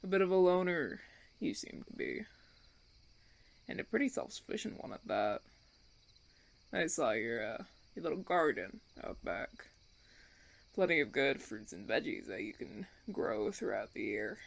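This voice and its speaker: A man playing a smug, menacing vampire recruiter in an audio roleplay, with a gravelly, creaky voice and theatrical, taunting, drawn-out phrasing. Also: medium pitch, very animated. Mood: smug, casual. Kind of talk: ASMR audio roleplay acting.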